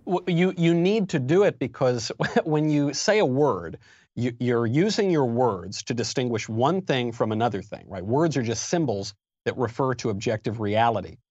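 A man speaking as a guest author in a political interview, pressing his argument with steady emphasis. His voice sits in a middling register, and it comes through a slightly dull, lo-fi line with a very dry sound and no room echo. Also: mid-range voice, normal speed.

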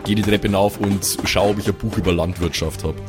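A man with a deep voice plays a tabletop game. They describe their character's actions in the first person, improvising. Soft music runs underneath. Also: steady tone, a little fast. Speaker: role-play player.

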